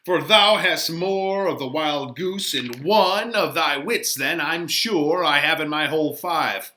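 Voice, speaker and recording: A man reading dramatically as witty, boisterous young gentlemen trading teasing, emphatic Shakespearean banter. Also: a little high, slow pace. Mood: playful, boastful.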